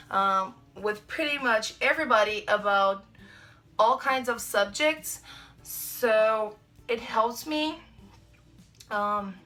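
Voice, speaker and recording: A woman's voice: fairly high pitch, sharp tone. A young woman in her twenties with a Brazilian Portuguese accent, chatting casually to camera in her bedroom, with lots of ums and likes. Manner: chatty, many ums and likes, gestures a lot. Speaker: vlogger. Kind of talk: casual to-camera vlog answering tag questions. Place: small bedroom indoors. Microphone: camera mic at close framing.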